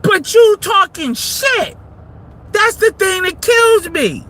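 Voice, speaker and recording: A man, delivering a heated, profane monologue that rises into shouts. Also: very high voice, animated, slow. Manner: heated, combative, swearing freely, rising to shouts. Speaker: livestream commentator.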